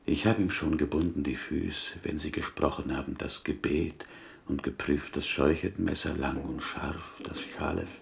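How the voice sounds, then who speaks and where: Devout slaughterhouse helper, extremely low, creaky, slow, courteous, solemn, ceremonious radio drama delivery. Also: male voice, fairly even intonation, full character voice. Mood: solemn, pleading. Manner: reverent, ceremonious, inverted old-fashioned syntax. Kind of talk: radio play acting, formal ceremonious speech.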